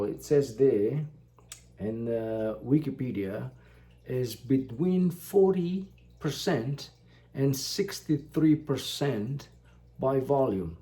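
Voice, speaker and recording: Indonesian-born male vlogger, slow, mellow, halting delivery. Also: mid-range voice. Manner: slow, halting, many fillers. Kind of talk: casual talk to camera.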